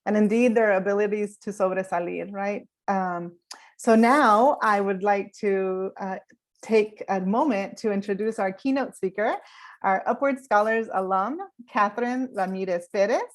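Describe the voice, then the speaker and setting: A woman's voice: medium pitch, normal speed. Chatty woman hosting an online ceremony. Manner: warm, chatty, with fillers. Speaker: program host. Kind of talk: hosting a virtual graduation ceremony.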